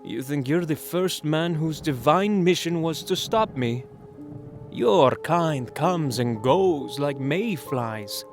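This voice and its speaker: A man voicing an ageless, mocking vampire lord in an audio drama, taunting slowly and theatrically. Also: a little high, expressive. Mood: disdainful. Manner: silky, taunting, slow and theatrical. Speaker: villain. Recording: music score behind the voice.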